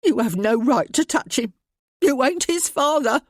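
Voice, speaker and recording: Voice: lively intonation, unhurried, no room sound, clean studio sound, exaggerated voice. A man voicing a careworn, thin working-class mother whose voice shakes but who stands firm. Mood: defiant, fretful. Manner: tremulous, lower-class speech.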